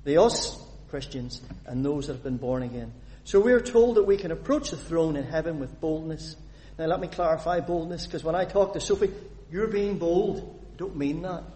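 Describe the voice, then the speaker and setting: A man from Northern Ireland preaching a sermon, putting questions to the congregation and reading scripture aloud in an animated way. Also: on the high side, moderate pace, hardly any pauses. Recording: long hall reverb.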